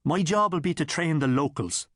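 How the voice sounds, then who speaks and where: A man voicing a kindly, steady working father, speaking plainly and gently in an audiobook. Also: a little high, normal speed, dry recording, clean studio sound, pitched above the performer's own voice.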